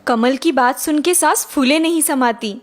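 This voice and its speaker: High-pitched woman narrating a story. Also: moderate pace.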